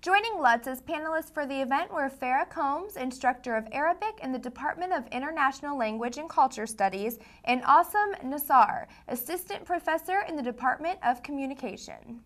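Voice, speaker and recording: Young female student anchor, high pitch, scripted newsreading. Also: lively intonation, brisk, hardly any pauses. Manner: bright, read-aloud anchor cadence. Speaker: news anchor. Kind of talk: scripted news reading.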